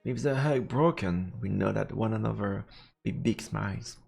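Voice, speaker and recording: A man's voice: on the low side, normal speed, creaky, a little mellow, husky. A man reading visual novel narration aloud as he streams a let's play. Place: indoors, streaming setup. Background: faint game music.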